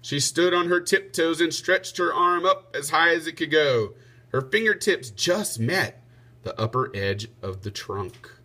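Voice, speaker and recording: Bright-voiced man reading a storybook. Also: on the high side, lively intonation, a little slow, breathy edge. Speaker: teacher reading aloud. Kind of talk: expressive storybook narration.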